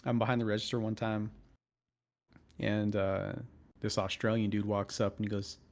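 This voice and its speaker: A man's voice: lowish voice, little pitch movement, moderate pace. A young American man co-hosting a podcast, chatting casually and telling loose stories with plenty of swearing. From United States. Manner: loose storyteller, swears freely. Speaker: podcast co-host. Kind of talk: casual chat.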